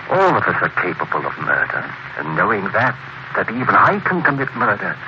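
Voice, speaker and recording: An actor playing an intense, obsessed crime writer turned cool mob boss, in a theatrical 1940s radio mystery style. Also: male voice, on the low side, wildly swooping pitch, brisk. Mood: morbidly fascinated. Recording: noisy, muffled vintage radio recording.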